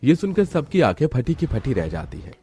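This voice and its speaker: A man's voice: fairly high pitch, wildly swooping pitch, brisk, mellow, husky, no echo. A man narrating a scripted moral story.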